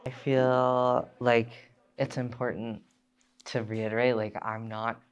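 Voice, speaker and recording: A young man from Ontario telling a personal story, halting with many pauses and restarts. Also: mid-range voice, little pitch movement, dull audio. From Ontario, Canada. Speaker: speaker sharing his story.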